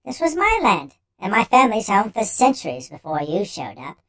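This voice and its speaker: Shrill, indignant little homunculus. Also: male voice, huge pitch swings, moderate pace, bright, forceful tone, full character voice. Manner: indignant, lecturing, sarcastic. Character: small indignant male homunculus, pedantic, scolding humans. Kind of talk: storybook character voice.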